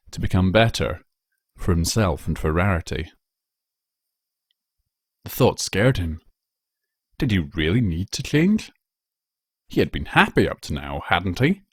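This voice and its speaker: Deep-voiced man narrating a story. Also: darkish tone, no room sound, clean studio sound. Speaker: narrator, fan fiction reader.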